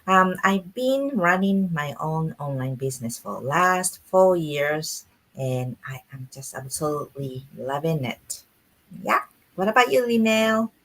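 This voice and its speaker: A woman from Japan, hosting a live online business chat in an animated, chatty way. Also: deep voice, a little slow, rough recording. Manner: animated, chatty, laughs often. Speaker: host.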